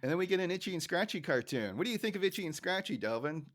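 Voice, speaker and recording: A man's voice: high-pitched, very animated, rapid-fire, a bit bright. A man co-hosting a podcast in casual chat, talkative and self-deprecating as he recaps a cartoon. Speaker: podcast co-host.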